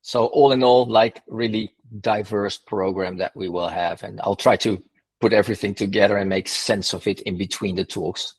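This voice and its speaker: A man's voice: lowish voice, fairly even intonation, moderate pace, husky. A man, the event's moderator, talking loosely and casually, with many "like" fillers. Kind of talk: casual chat.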